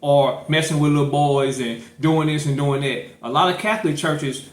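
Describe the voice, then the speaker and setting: A man's voice: on the high side, normal speed. Rambling man in an echoey room. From St. Louis, Missouri. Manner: rambling, many fillers and restarts. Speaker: podcast host. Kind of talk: casual political chat.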